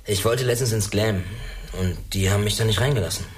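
Radio drama delinquent, played by a man: low-pitched youth, dry, cocky, offhand. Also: a little fast, natural voice. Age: teenager. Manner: laconic, casually deadpan. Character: cocky, laconic teenage male delinquent. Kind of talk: naturalistic radio drama acting.

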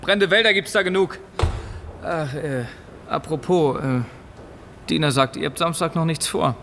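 A male actor playing a breezy, commanding, smooth-talking TV news boss in a naturalistic radio drama. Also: fairly high pitch, moderate pace, fairly bright tone, slight background noise. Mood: brisk, commanding. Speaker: TV news executive.

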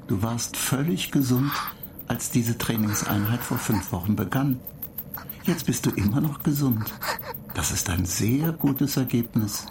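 Radio drama: a dryly superior machine intelligence, clinical, measured, middle-register voice over clear background noise. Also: male voice, mid-range voice, lively intonation. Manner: matter-of-fact, clinical, measured. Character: calm, pedantic male computer data agent, dryly superior. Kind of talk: radio play acting. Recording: clear noise bed behind the voice.

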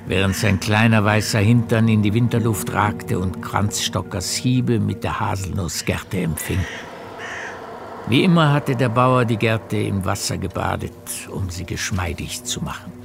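Male narrator for literary audio drama: low register, gravelly, measured, over prominent music. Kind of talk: scripted literary narration in a radio play.

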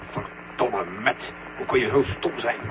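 An actor playing a gruff, exasperated general at mission control, blunt and commanding, in a 1970 Dutch radio drama. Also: male voice, medium pitch, a little slow, heavy background noise, lightly acted. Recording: muffled, humming 1970 radio recording.

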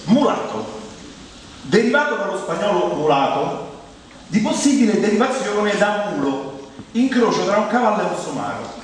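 A man's voice: high voice, sing-song, normal speed. A man with a Roman accent performing a comic theatrical monologue in a wry, ironic, conversational way, with mock-solemn turns. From Rome, Italy. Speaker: stage monologuist. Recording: very echoey hall, distant mic, clear noise.

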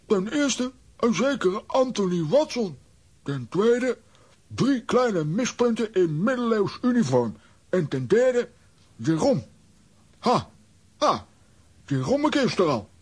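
A bumbling, cocky cartoon adventurer in an audio play for children. The voice is high and husky, swinging about as he hems and then speaks with pompous formality. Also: sing-song, slow pace, long pauses, a little mellow, heavily acted. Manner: hesitant "eh" openers, pompous formality. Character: bumbling, cocky male comic-strip adventurer. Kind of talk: children's audio drama acting.

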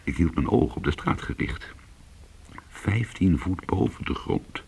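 An actor playing a weary, hard-boiled former cat burglar, telling his story in an intimate, brooding and deliberate way in a radio play. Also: deep voice, very animated, vocal fry, a little mellow, a little hiss or hum, heavily acted. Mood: hushed, tense.

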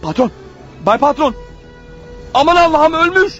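An actor playing a panicky, guilt-ridden clerk turned killer in a radio play, stammering and talking nervously to himself. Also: male voice, very high-pitched, animated, moderate pace, fairly bright tone, dull audio, faint music behind. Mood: horrified, panicking. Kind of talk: radio theatre acting.

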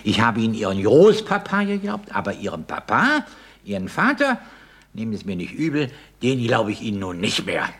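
An actor playing a pompous, fussy Excellency in a comedic radio play, formal, hemming and apologetic. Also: male voice, lowish voice, wildly swooping pitch, moderate pace, a bit bright, husky, exaggerated voice. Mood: huffy.

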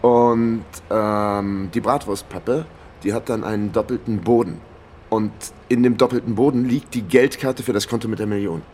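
Actor as wry, low-voiced down-on-his-luck sleuth. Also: moderate pace, a little mellow, slight background noise, lightly acted. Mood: hesitant, improvising. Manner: dry, sardonic. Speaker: narrator and lead character. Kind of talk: radio crime drama, first-person narration and dialogue.

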